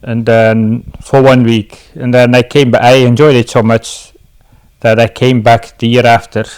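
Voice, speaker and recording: A man's voice: mid-range voice, little pitch movement, measured pace, a little mellow, smooth. A middle-aged man from Belgium with a Belgian accent, giving casual answers as an interview guest.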